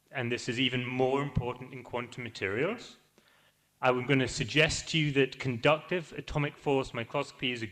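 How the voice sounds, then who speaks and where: A man's voice: mid-range voice, sharp tone. A man with a Scottish accent giving an upbeat, wry academic talk at a conference. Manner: upbeat, wry, self-deprecating. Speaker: conference speaker. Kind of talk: academic presentation. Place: conference hall.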